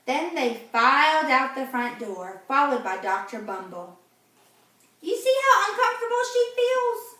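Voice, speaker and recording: A woman reading a picture book aloud to children in a gentle, teacherly way, pausing to ask them questions. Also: high-pitched, sing-song, distant mic. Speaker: read-aloud host for children. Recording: very echoey room, off-mic.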